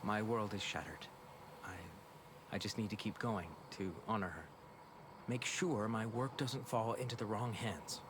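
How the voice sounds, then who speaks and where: An actor playing a guilty, grief-stricken scientist in a video game, earnest and halting. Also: male voice, lowish voice, steady tone, noisy background, natural voice. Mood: sad, resolved. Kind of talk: video game cutscene acting.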